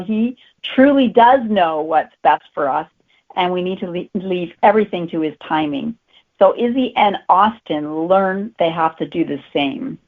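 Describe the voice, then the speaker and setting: A woman talking casually as an author being interviewed. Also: on the low side. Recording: tinny phone-like line.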